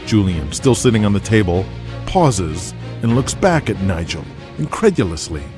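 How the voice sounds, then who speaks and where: A man's voice: lowish voice, very animated, moderate pace. A man narrating an audio drama in a dramatic scripted style. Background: music.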